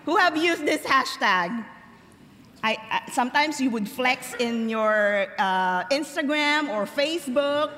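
A young woman from the Philippines, giving a personal testimony as a chapel speaker, chatty and slowing down for emphasis. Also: high voice, expressive, bright, forceful tone. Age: young adult. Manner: conversational, laughs easily, slows for emphasis. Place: large chapel. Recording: long hall reverb.

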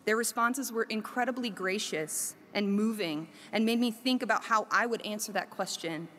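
A woman professor gives a prepared talk to listeners in an earnest, measured way, building her points through emphatic lists. Her voice sits at an ordinary middle pitch and carries a long reverb tail. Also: fairly bright tone, a little hiss or hum. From Korea.

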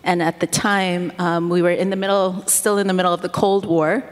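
A woman's voice: on the low side, flat delivery, brisk. A middle-aged woman born in the United States, whose first language is Korean, talking as a guest in an interview, rambling and self-deprecating with many fillers. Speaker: guest speaker. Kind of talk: casual interview talk. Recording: long hall reverb.

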